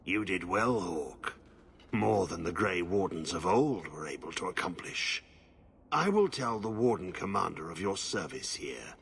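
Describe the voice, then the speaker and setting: A man playing a grave, haunted veteran warrior in a fantasy video game, speaking in solemn, halting, terse pronouncements. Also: sits low, lively intonation, gravelly, a bit bright, breathy edge, full character voice. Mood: solemn gratitude.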